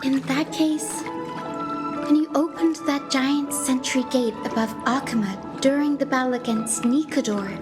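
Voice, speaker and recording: A woman voicing a gentle, earnest young female healer and scholar in a video game. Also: high voice, measured pace. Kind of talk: video game story dialogue. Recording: music behind the voice.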